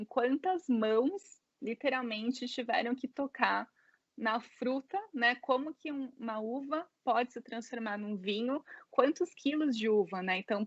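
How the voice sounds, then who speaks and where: Chatty Brazilian woman, slightly high voice. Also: no room sound, lo-fi sound. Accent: Brazilian Portuguese. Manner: chatty, many fillers like "né" and "é". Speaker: interview guest. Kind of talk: casual interview storytelling.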